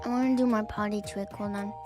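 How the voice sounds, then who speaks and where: A woman's voice: medium pitch, steady tone, quick pace, over music. A woman streamer reading viewer fanfics aloud on a live stream, playful and giggly, with teasing asides.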